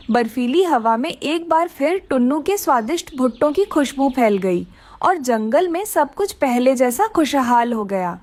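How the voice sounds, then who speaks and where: A woman narrates a children's story from a script. Her voice is high, with very lively rises and falls.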